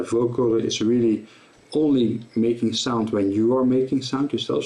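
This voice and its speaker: A man giving an informal tutorial explanation as a presenter, in a halting, self-correcting way. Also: medium pitch, a little mellow. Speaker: presenter of guitar gear tutorial.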